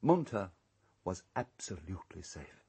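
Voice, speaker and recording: An actor playing an urbane, calculating English island owner in a radio drama, speaking in a measured, precise, coolly unrepentant way. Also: male voice, low voice, big pitch swings, dark tone, slightly rough, exaggerated voice. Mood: cool, matter-of-fact.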